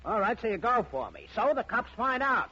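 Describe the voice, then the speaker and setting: An American actor playing a tough, callous racketeer in a 1940s radio drama, brusque, slangy and domineering. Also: male voice, sits high, sing-song, brisk, pushed and bright, slightly rough, full character voice. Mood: scornful. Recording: muffled, noisy old 1940s radio recording.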